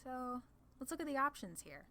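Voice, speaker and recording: A woman's voice: sits high, very animated, normal speed, bright, forceful tone, full character voice. Woman playing a haughty, teasing demon lady, noisy backdrop. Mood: businesslike, amused. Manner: condescending, playful, theatrical. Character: haughty, teasing female demon lord, amused and sly. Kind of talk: ASMR roleplay acting.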